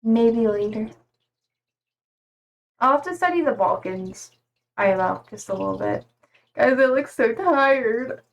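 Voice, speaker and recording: A woman's voice: a little high, lively intonation, a little slow, pauses often, rough recording. A young woman streaming live, chatting casually and reading out viewer comments in a slangy, rambling way full of "like".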